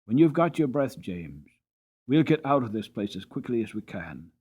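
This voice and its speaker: A man voicing a commanding, caring gentleman who owns horses, firm and urgent in his orders. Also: medium pitch, sing-song, moderate pace, breathy edge.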